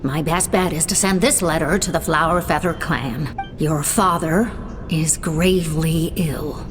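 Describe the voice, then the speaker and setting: A woman's voice: sits low, a little slow, music in the background. A woman voicing a worried woman who earnestly pleads with an estranged son by letter. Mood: pleading. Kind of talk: video game voice acting. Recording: long echoing reverb.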